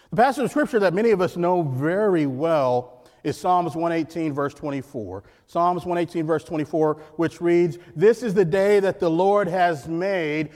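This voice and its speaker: Male guest preacher, loud and emphatic, a little high-pitched, call-and-response delivery, long reverb of a church hall. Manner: emphatic, repeats key phrases, asks the congregation to answer. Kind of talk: sermon with jokes and call-and-response. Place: church sanctuary.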